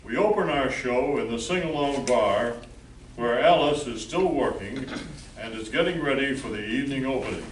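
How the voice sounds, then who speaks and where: A man's voice: medium pitch, animated, normal speed. A man announcing and narrating a live old-time radio play in a formal, showman-like way. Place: live stage show in an echoey room. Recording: echoey distant hall recording with noise.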